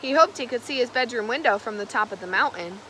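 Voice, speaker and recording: Bright, high-voiced woman reading a storybook aloud. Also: quick pace, slight background noise, poor audio. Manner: expressive storytelling, stressing key words. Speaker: storybook reader. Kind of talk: children's read-aloud, scripted narration.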